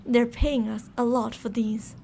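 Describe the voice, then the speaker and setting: A high, heavily creaky female voice acted by a woman plays a mad scientist captor in an ASMR roleplay. She is syrupy and courteous with a stern, controlling edge. There is clear noise behind her. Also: level tone, a little slow, dark tone. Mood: smug, coaxing. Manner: sugary politeness turning stern and commanding. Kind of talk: ASMR roleplay audio drama.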